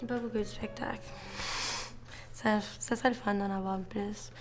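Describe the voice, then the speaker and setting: A woman's voice: mid-range voice, fairly even intonation, normal speed, soft background music. A young woman with a Canadian French accent, answering casually and colloquially in an interview. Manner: colloquial, peppered with "comme".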